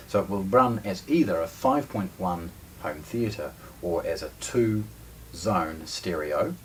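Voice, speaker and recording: A man gives an unscripted product presentation in a fairly low voice. He explains things informally, like a shop presenter. The mic sits some way off in an indoor showroom, with faint noise behind him. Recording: distant mic, background room noise.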